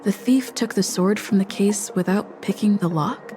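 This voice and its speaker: A woman playing a sharp-minded, confident young investigator in a video game, deliberately reasoning out loud. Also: medium pitch, music in the background, natural voice.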